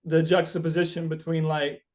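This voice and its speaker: A man's voice: on the high side, flat delivery, brisk, darkish tone. A man being interviewed as a musician, talking reflectively and philosophically, circling back and repeating his phrases as he goes. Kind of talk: reflective, rambling interview conversation.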